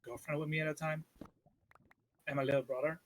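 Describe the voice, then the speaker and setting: A man whose first language is Vietnamese, chatting casually as a podcast co-host and telling stories in an animated way. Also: fairly high pitch, a little fast, slight background noise, poor audio. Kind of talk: casual chat.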